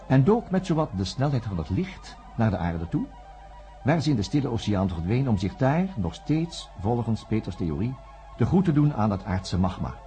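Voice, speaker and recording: A man's voice: lowish voice, very animated, normal speed, dark tone, soft background music. A man playing an earnest, self-doubting psychiatrist in a radio drama, reflective and at times hesitant. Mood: sombre narration. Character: earnest, self-doubting younger psychiatrist, former student of a professor. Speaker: narrator and lead character. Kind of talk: radio drama acting with first-person narration.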